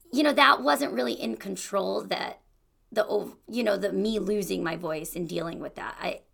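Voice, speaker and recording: Young adult woman, podcast host, casual encouraging monologue, gravelly fry in the voice. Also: medium pitch, bright, forceful tone. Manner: chatty and encouraging, with fillers like "you know" and "like".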